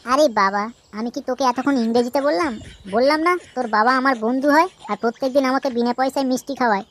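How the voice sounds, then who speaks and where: A woman's voice: high voice, quick pace, lightly acted. A woman voicing a brash, cheeky, argumentative trickster in a Bengali comedy cartoon, with a coarse way of talking. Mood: pushy. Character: brash cartoon trickster and naive shopkeeper's child.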